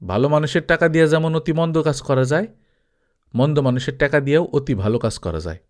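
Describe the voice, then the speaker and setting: প্রভাবশালী, নির্লজ্জ ব্যাপারী, সুরের ওঠানামা প্রবল একজন পাঠকের অভিনয়ে. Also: male voice, fairly high pitch, lively intonation, fast, darkish tone, close to an everyday voice. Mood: persuasive, self-assured. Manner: colloquial, self-justifying, rhetorical questions. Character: wealthy shady village trader, brazen, calm, domineering man.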